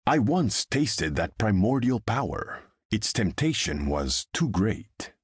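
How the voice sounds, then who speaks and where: A haughty sovereign of the seas from a video game. The voice is fairly low and rough-edged, swinging widely in pitch. The speech is formal and grandiose, as from a throne. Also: male voice, very animated, takes it slowly, no room sound, clean studio sound, heavily acted. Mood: imperious, menacing. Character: proud, imperious undersea king of an ocean empire. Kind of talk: video game character voice lines.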